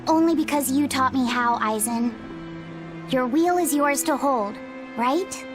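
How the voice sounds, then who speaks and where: Sweet, sincere boy hero; high youthful voice, caring game delivery over prominent music. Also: a little slow. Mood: encouraging. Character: earnest, kind young boy learning to steer his life. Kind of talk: English-dubbed video game cutscene acting. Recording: dramatic game music behind the voice.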